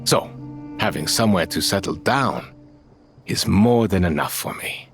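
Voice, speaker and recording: An actor playing a calm, fatherly middle-aged leader of a desert band in a video game, talking in a measured, folksy way. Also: low voice, faint music behind. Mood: calm, reflective.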